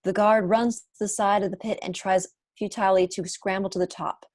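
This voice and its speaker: A woman's voice: medium pitch, level tone, fairly quick, darkish tone, dry recording, studio quality. A young adult woman reading stage directions as narrator in an online table read, recorded at home over earphones on a video call. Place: small room at home, indoors. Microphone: wired earphones on a video call.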